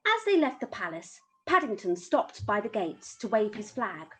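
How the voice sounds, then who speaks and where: A woman's voice: medium pitch, very animated, moderate pace, a bit bright. A woman reading a children's story aloud as an amateur storyteller.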